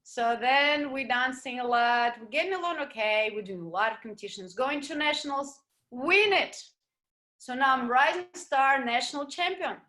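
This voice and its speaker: A middle-aged woman, speaking in a slightly high voice. She tells her story as an interview guest in an animated way. She acts out what others said to her. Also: normal speed. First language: Russian. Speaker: guest, ballroom dancer. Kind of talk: casual interview chat.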